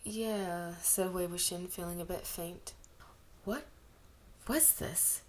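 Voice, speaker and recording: A woman's voice: fairly low pitch, animated, slow, darkish tone, slight background noise. Woman reading a story aloud. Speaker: amateur audiobook reader of fan fiction. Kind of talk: scripted story narration with character voices.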